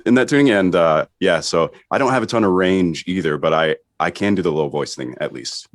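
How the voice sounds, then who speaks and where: A man speaks in a low, deep timbre. He is a musician and voice actor chatting casually as an interview guest. The sound is dry, without any echo. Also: a little fast. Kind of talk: casual chat.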